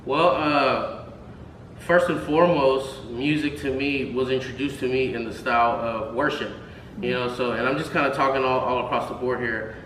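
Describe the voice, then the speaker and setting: A man's voice: medium pitch, fairly even intonation, normal speed, fairly bright tone. A man in his thirties from Houston, Texas, a music producer chatting as a guest, rambling with many fillers and restarts. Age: 30s. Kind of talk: casual interview chat. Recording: roomy, distant mic, faint noise.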